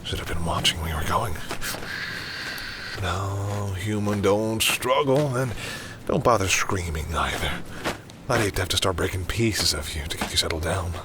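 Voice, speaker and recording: A deep male vampire , acted by a man, speaks intimately to his prey in an audio drama. He is condescending and sure of himself, slipping between cool commands and breathy musing. A low rumbling noise sits behind the voice. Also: animated, normal speed. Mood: commanding, menacing. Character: confident male vampire predator, smug and coolly menacing. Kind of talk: intimate audio roleplay, acted monologue to the listener.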